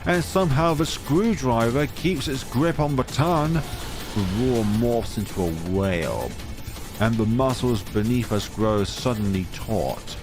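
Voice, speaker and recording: A man reading a visual novel aloud in a theatrical, expressive way, mixing dramatic narration with casual commentary. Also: medium pitch. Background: game music behind the voice.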